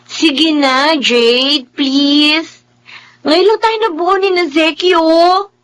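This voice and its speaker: A woman playing a teasing, protective, bossy best friend in a Filipino radio drama, with frank, expressive delivery. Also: high voice, extremely slow, exaggerated voice. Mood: concerned, nagging.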